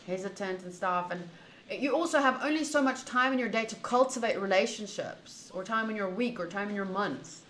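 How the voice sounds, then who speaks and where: A woman with a bright, crisp-edged voice does casual visual novel commentary, switching between reading aloud and chatting. The sound is roomy and echoey, with faint noise behind her. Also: medium pitch, normal speed. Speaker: streamer playing a visual novel.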